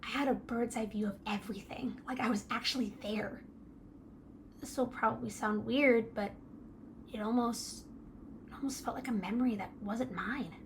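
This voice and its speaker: A woman's voice: fairly high pitch, moderate pace, natural voice. Woman playing a timid, hesitant teenage girl. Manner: soft, hesitant, self-doubting, stammers. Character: timid, gentle teenage girl from strict religious family. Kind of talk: audio drama acting. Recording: noisy ambient background.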